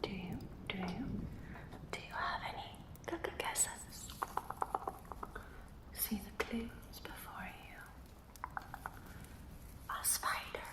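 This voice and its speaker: Woman acting a slow whispering enchanted portrait, playful riddler. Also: medium pitch, steady tone, close to an everyday voice. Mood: teasing, mysterious. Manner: slow, teasing, repeats words in whispers. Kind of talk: ASMR roleplay. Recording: echoey room sound.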